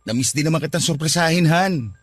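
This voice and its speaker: A man's voice: on the high side, fairly quick, husky, dry recording, clean studio sound, faint music behind. An actor playing a devoted young man in a Tagalog radio drama, who earnestly sweet-talks his girlfriend.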